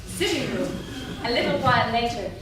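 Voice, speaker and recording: A woman's voice: on the high side, lively intonation, fairly quick. A woman reading stage directions as scripted narration at a staged reading. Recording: noisy, very echoey theatre, distant mic.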